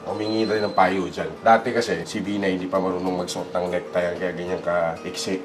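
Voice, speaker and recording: A man's voice: sits low, little pitch movement, a little fast, natural voice. Man playing an eager, vain Filipino politician, guitar music behind. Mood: eager, scheming. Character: vain, eager mustachioed politician hoping to become president. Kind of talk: comic political satire sketch.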